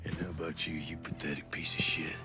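An actor playing a menacing military commander in a video game, sardonic and profane, swinging between hushed and shouted delivery. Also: male voice, extremely low pitch, level tone, a little fast, over music. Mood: contemptuous. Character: menacing military commander berating his drunken elite soldiers. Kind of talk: cinematic video game acting.

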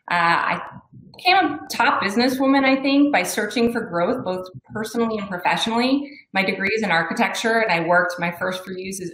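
Low-voiced businesswoman in an echoey room. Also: fairly bright tone, a little hiss or hum. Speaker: project management business owner. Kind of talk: panel self-introduction. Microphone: webcam on video call. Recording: echoey room over video call.

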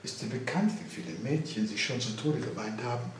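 A man's voice: medium pitch, very animated, fairly quick, echoey room, distant mic, a little hiss or hum, lightly acted. A man voicing a coquettish, impetuous and dreamy waif girl with a wheedling, theatrical manner in a literary reading. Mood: coy, dramatic.

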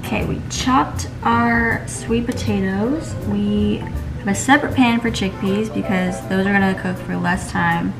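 Slow-talking young woman vlogging, music behind. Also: mid-range voice, gravelly. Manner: chatty, wry, slightly tired. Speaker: lifestyle vlogger. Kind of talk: casual vlog narration. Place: car, grocery store and home kitchen. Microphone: handheld camera close to face. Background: background music.

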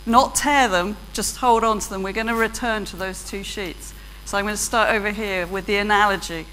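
A woman's voice: medium pitch, steady tone, normal speed, sharp tone. British woman speaking in a big hall. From Britain. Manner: chatty, self-deprecating, laughs easily. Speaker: keynote speaker. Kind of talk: conference keynote talk. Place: large auditorium stage with audience. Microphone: handheld mic. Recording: long hall reverb, faint hum.

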